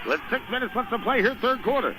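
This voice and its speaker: High-pitched man calling basketball on noisy radio. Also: fast talker. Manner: clipped, urgent running phrases. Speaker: radio play-by-play announcer. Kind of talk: live basketball play-by-play commentary. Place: live at a basketball game. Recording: noisy radio broadcast of a game.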